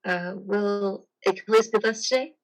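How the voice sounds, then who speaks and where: A friendly psychiatrist played by a woman speaks in an encouraging way in a stage drama. Her voice is of average pitch. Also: fairly even intonation, moderate pace, lightly acted, low-quality recording. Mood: friendly, reassuring. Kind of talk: play reading acting.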